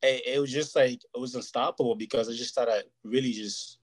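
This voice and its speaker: A man's voice: mid-range voice, fairly even intonation, fairly quick. A man whose first language is Portuguese, talking earnestly and at length as an interview guest, often checking "you know what I mean". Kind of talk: casual interview chat.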